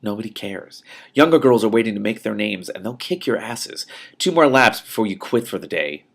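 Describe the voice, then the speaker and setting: A stern school track coach, a woman who scolds bluntly, read out by a man. The voice is gravelly and emphatic, in the manner of an audiobook story. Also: fairly low pitch, lively intonation, normal speed. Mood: scolding. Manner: barking, blunt.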